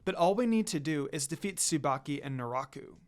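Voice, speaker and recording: A man voicing an affectionate young woman in a romantic story, with a soft, earnest manner. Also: fairly high pitch, lively intonation, brisk, natural voice. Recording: music under the voice.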